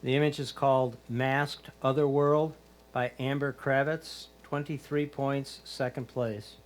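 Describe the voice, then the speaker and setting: Medium-pitched man announcing scores. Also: level tone, unhurried, a little hiss or hum. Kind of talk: formulaic announcing of titles and scores.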